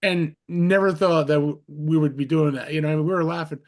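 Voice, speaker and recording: Brief: male podcast host, a little high-pitched, chatty and rambling, on a video call. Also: fairly even intonation, fairly quick. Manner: rambling, restarts sentences, heaps on compliments. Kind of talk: casual interview chat.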